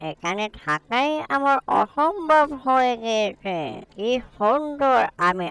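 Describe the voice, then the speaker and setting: Woman voicing a clear, fussy talking magic pot. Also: sits high, animated, takes it slowly, a bit bright, exaggerated voice. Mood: exasperated scolding. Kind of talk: cartoon voice acting.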